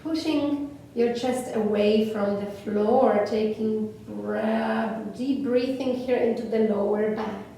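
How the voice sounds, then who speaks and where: A woman with a Polish accent gives slow, soothing yoga cues with long pauses in a mellow, unhurried voice. She sits well back from the mic in a very echoey room, with a faint hiss underneath. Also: a little high, fairly even intonation, vocal fry, far from the mic. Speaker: yoga instructor. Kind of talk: guided yoga class instruction.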